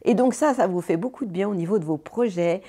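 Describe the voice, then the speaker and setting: A woman's voice: medium pitch, fast, mellow. A woman hosting a weekly horoscope in a chatty, bubbly way, full of fillers and little self-corrections. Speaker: astrologer, channel host. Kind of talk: casual weekly horoscope talk.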